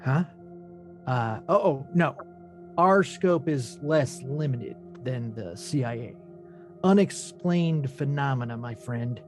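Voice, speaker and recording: A man's voice: mid-range voice, lively intonation, takes it slowly, soft background music. A man playing a cocky, self-important government agent in a screenplay table read. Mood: smug, evasive.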